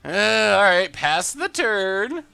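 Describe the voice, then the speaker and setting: A man's voice: high-pitched, big pitch swings, takes it slowly, creaky, bright, forceful tone, clean tone, no echo. A man streaming a card game, narrating his plays and chatting with viewers in an upbeat, conversational way. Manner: upbeat, chatty, reads and answers viewer messages. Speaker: streamer and gameplay commentator. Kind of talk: live-stream gameplay commentary with chat replies.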